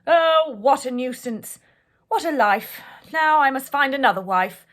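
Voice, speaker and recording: A woman's voice: mid-range voice, animated, fairly quick, husky. A woman voicing a fussy, sly king in a rhyming storybook reading. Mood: exasperated. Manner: exasperated, sly.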